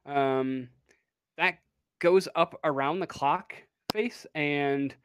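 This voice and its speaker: A game master improvising narration for a tabletop roleplaying game, pausing often to think. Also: mid-range voice, slight background noise.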